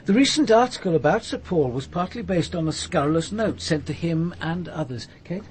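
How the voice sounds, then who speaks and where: An actor playing a probing, composed senior police detective, speaking formally and courteously in an audio drama. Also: male voice, on the high side, lively intonation, brisk, darkish tone, slight background noise, natural voice.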